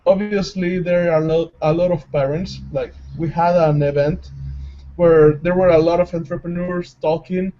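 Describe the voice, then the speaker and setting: A man from El Salvador, interviewing a guest and often pausing to search for words. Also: fairly high pitch, fairly even intonation. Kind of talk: interview questions. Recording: slightly dull lo-fi recording.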